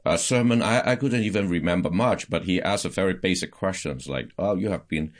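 A middle-aged pastor from Hong Kong, telling his life story in a casual interview, halting with many restarts. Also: sits low. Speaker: pastor and podcast guest.

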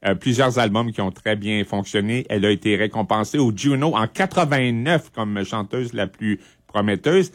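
A middle-aged man with a Quebec French accent, presenting a music segment on radio in a conversational, halting, informative way. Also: lowish voice, no room sound.

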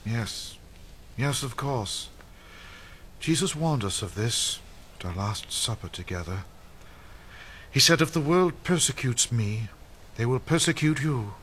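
A man playing a calm, authoritative apostle in a radio drama, speaking in a solemn, preacherly way. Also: mid-range voice, measured pace, pauses often. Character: calm, authoritative male apostle leading his flock. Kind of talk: dramatized radio play acting. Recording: music behind the voice.

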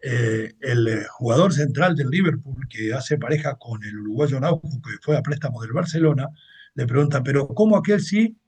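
Husky Mexican man hosting football talk. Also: mid-range voice, animated, normal speed, a little mellow. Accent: Mexican Spanish. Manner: chatty, opinionated, translating clips. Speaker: football show host. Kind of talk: casual sports commentary monologue.

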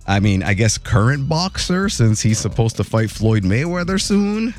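A man hosting a morning radio show, telling entertainment news in a jokey, animated way. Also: moderate pace. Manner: animated, jokey, voice jumps high for emphasis.

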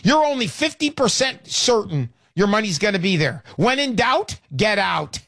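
A man in his sixties hosting a call-in radio show, talking in an energetic, emphatic, salesy way. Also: high-pitched, moderate pace, no room sound, studio quality. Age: 60s. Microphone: studio microphone.

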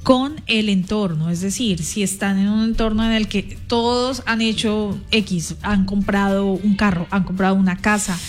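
A woman's voice: mid-range voice, fairly even intonation, moderate pace, hardly any pauses, soft background music. A woman co-hosting a morning radio show, welcoming a guest and asking questions in a casual, conversational way. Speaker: radio co-host.

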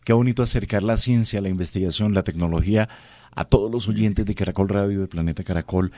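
A man's voice: lowish voice, quick pace, mellow, no echo. A man hosting a radio interview, courteous and affirming, addressing his guest formally.

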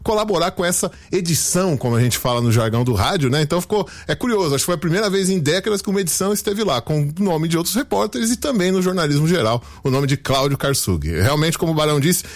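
A Brazilian man, a radio reporter, recalls a colleague on air. He talks very quickly in a somewhat high voice. He tells the story in a loose, conversational way. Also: animated. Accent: Brazilian Portuguese.

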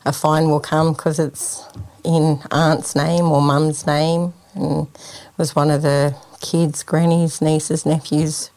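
A woman's voice: sits low, level tone, slow pace, warm tone, dry recording. A woman from Sydney, an outreach officer answering radio interview questions in a plain, informal way. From Sydney, Australia.

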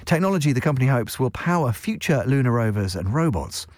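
A man hosting a radio show, reading a scripted introduction in a smooth, measured way. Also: mid-range voice, very animated, a little mellow, no room sound, studio quality.

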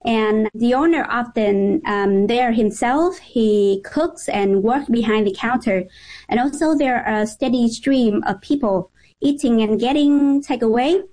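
Higher-voiced Vietnamese-accented female reporter. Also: a little slow, mellow, rough recording. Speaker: journalist reporting as guest. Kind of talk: conversational radio interview.

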